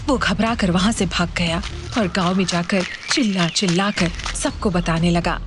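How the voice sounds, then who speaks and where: A woman's voice: fairly low pitch, steady tone, moderate pace. A woman storyteller giving a dramatic scripted narration of a horror story. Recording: music behind the voice.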